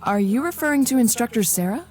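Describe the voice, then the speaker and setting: A woman's voice: fairly high pitch, lively intonation, fast talker, a little mellow, lightly acted. An actress playing a thoughtful young female student in a game cutscene. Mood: questioning. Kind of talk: scripted game cutscene acting. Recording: music behind the voice.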